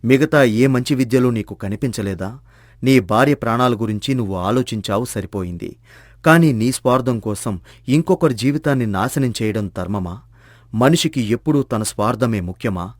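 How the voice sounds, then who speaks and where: A man playing a calm hermit sage in a moral storybook tale, soft-spoken and measured as he gently admonishes. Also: medium pitch, no echo, studio quality, natural voice. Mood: gentle reproach.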